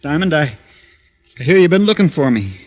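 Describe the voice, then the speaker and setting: Radio crime drama: edgy petty racketeer, notably high pitch, terse commands, slangy menace. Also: male voice, very animated, fairly quick. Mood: menacing. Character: menacing small-time male racketeer in hiding, threatening, edgy. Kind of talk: old-time radio detective drama acting.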